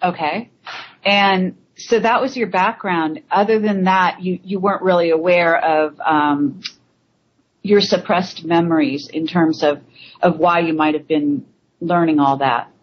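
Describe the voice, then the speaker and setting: A woman hosting a radio interview, prompting her guest with halting questions. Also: fairly low pitch, fairly even intonation. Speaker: radio show host. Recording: muffled lo-fi line with faint noise.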